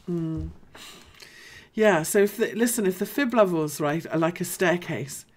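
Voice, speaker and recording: Woman, deep voice, host, casual live analysis, chatty, thinking aloud. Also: measured pace.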